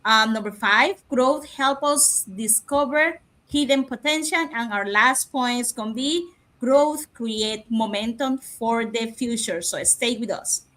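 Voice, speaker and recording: Higher-voiced woman reading a list. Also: takes it slowly, few pauses, fairly bright tone, rough recording. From Cuba. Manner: reads points in a list. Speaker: nurse and panelist.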